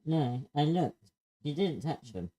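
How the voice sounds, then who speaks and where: Someone reading a shy little creature girl, earnest, hesitant, really mellow muffled voice, table read. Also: mid-range voice, animated, unhurried. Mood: uneasy, doubtful. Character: young creature schoolgirl, timid, earnest, conscience-stricken.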